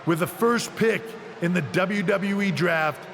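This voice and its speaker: A man's voice: sits high, unhurried, a bit bright. A man playing a formal wrestling executive making a ceremonial, suspense-building arena announcement in a game cutscene. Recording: echoing arena PA with faint noise.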